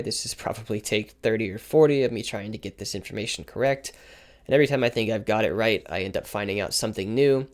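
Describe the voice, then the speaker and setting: A man with a slightly hoarse voice, giving a quick, informal explanation of game mechanics with asides and self-corrections. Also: mid-range voice, darkish tone. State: slightly hoarse from repeated takes. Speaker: game guide creator. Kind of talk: explaining game mechanics over gameplay footage.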